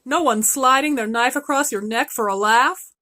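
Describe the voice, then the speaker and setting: A woman voicing a wistful, gentle male reindeer. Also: high-pitched, normal speed, pitched above the performer's own voice.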